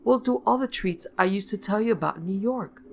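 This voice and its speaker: A woman voicing a fond, firm upper-class mother in a storybook, brisk and coaxing. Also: sits low, highs cut off.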